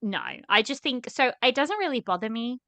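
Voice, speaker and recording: Woman podcast host, bright voice, animated casual chatter, dry close sound. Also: fairly high pitch, moderate pace, dry recording. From Portugal. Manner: chatty, animated, many fillers.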